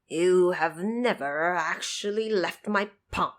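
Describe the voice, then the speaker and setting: A woman's voice: lowish voice, slow pace. A woman playing a stern, commanding male Buddha with an authoritative manner in a fantasy audio drama. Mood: scolding.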